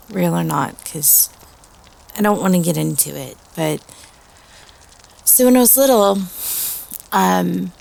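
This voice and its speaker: A woman's voice: fairly low pitch, creaky, full character voice. A woman playing a teenage girl telling a spooky campfire story in a casual, hesitant, rambling way for a roleplay audio.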